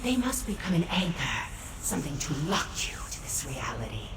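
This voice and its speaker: An actress playing a scheming, regal and sinister demon princess in a fantasy game, speaking in a measured, imperious way. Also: female voice, sits low, big pitch swings. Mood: imperious, bargaining. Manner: measured, imperious, slyly bargaining. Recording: roomy echo, distant, noisy game ambience.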